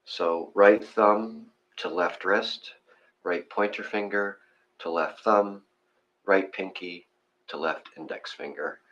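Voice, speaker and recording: A man casually giving step-by-step guided meditation instructions in an informal, gently encouraging way. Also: deep voice, steady tone, takes it slowly, highs cut off.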